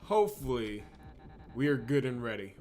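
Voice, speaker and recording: A man's voice: mid-range voice, big pitch swings, normal speed, darkish tone, soft background music. A man hosting a video game playthrough, talking casually in an exasperated, grumbling manner. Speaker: host of a visual novel playthrough channel. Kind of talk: casual gameplay commentary.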